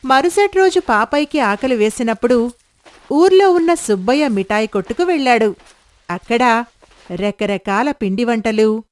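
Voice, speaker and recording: A woman's voice: fairly high pitch, no room sound. A woman narrating a scripted moral story as the storyteller.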